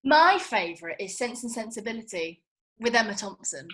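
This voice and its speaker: A woman's voice: a little high, lively intonation, moderate pace, a bit bright. An actress playing a blunt, indignant young British woman with colloquial, sweary speech in a comedy script read. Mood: fond, chatty. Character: blunt, indignant young woman, film-loving Austen festival-goer.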